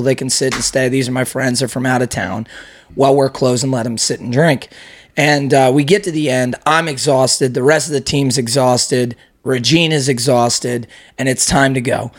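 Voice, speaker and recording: A man hosting a podcast, his voice hoarse, chatting in an upbeat, mock-grand way with plenty of swearing. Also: medium pitch. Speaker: podcast host. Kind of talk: casual crude banter. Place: room in a house used as studio.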